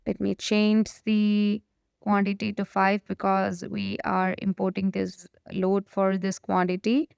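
Clear-voiced woman giving a software walkthrough. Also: mid-range voice, level tone, a little slow, no echo. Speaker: software tutorial presenter. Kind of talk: spoken walkthrough of a software demo.

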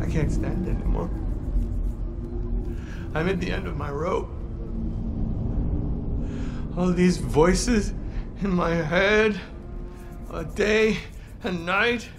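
An actor playing an exhausted, tormented psychiatric patient, pleading in anguish in a dramatic video game. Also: male voice, high-pitched. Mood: desperate anguish. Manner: anguished, pleading, drawn-out delivery. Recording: music behind the voice.

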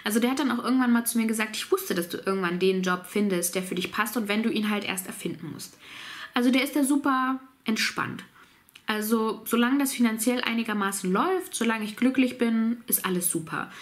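A woman's voice: fairly high pitch, fairly quick. A woman in her thirties with a cold, chatting casually to camera from a small home office as she answers viewers' questions. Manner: relaxed, chatty, laughs easily, reads out questions. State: has a cold.

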